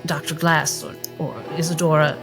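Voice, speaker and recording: Composed, mid-pitched, reassuring doctor, played by a gamer. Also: level tone, normal speed, in a large hall, music in the background, natural voice. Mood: composed, encouraging. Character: composed, encouraging doctor speaking kindly, also by telepathy.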